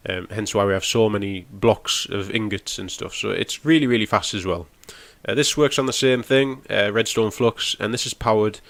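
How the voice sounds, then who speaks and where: Brief: young gaming host, stuffy nasal cold, lowish voice, dry close recording, rambling walkthrough. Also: male voice, normal speed, gravelly, few pauses, fairly bright tone, dry recording. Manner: rambling, explains as he goes, frequent ums. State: has a cold, nasal and snotty. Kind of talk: casual gameplay walkthrough.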